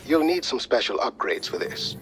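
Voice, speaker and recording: A man's voice: mid-range voice, sing-song, noisy background, natural voice. A man playing a precise, unsentimental scientist advisor in a video game, speaking formally and matter-of-factly. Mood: businesslike. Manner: formal, measured, matter-of-fact. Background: music.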